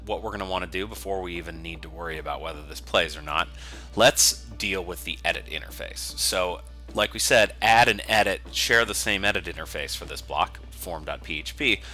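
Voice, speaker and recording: A man with a low-pitched, strikingly bright and forward voice leads a software tutorial. He explains each step conversationally while he works, in an informal screencast style. Faint music can be heard with the voice. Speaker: software developer giving a coding tutorial.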